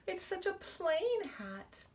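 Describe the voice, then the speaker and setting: Woman to play a dramatic, wistful young storybook girl, high-pitched and full of swoops. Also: big pitch swings, slow, exaggerated voice. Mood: tearful dismay. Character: dreamy, dramatic little girl, easily disappointed.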